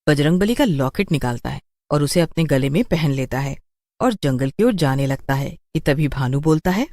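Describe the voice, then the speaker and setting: Dry, low-voiced female story narrator. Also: expressive, normal speed, warm tone, no room sound. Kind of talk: scripted horror story narration.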